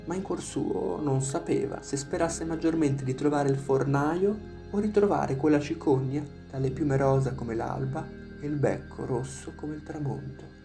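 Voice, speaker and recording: A storyteller narrates a written fairy tale in a mid-pitched voice with a gravelly creak. Instrumental music plays underneath throughout. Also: measured pace, hardly any pauses.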